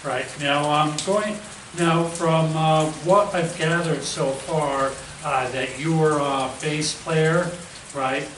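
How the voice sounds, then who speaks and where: A man's voice: on the high side, fairly even intonation, slow pace. A man hosting an interview, who asks questions hesitantly with many uhs and restarts. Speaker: host, interviewer. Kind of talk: casual phone-style interview. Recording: echoey, distant from the mic, noisy.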